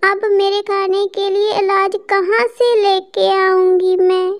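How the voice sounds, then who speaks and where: Lady doing a kind little girl bird for kids cartoon, super high voice, slow n earnest. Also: little pitch movement, no room sound, heavily acted. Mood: worried. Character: kind young female bird, worried little farmer. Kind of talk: children's cartoon dialogue.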